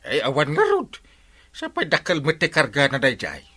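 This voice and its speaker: A man's voice: a little high, huge pitch swings, normal speed, sharp tone, slightly rough, heavily acted. A man acting a village character in an Ilocano-accented comedy radio drama. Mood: excited storytelling.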